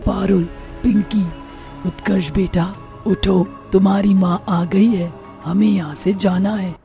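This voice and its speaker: A woman plays a ghostly witch mother from a horror cartoon. Her voice is very dark and gravelly, and she speaks slowly. She sounds sorrowful, devoted and pleading. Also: mid-range voice, fairly even intonation, faint music behind. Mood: tender. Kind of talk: Hindi animated horror moral story acting.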